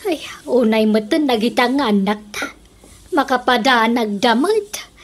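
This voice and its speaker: Casting: actress as argumentative Ilocano mother for radio comedy, high-pitched scold, clearly noisy recording. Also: lively intonation, measured pace. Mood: grumbling. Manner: nagging, exclamatory, argumentative. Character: Mirna, scolding, quarrelsome village mother in a comedy radio drama.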